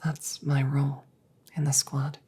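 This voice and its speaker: A woman playing a protective, guilt-ridden female android sharpshooter in a roleplay audio drama, speaking in slow, measured, soothing phrases with a breathy voice. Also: extremely low pitch, completely flat delivery, warm tone, full character voice. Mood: remorseful. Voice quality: breathy whisper.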